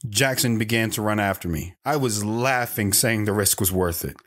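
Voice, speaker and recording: A man's voice: fairly low pitch, moderate pace, background noise, natural voice. A man playing a wanderer recounting his hard life in first-person audio drama narration. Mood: reflective.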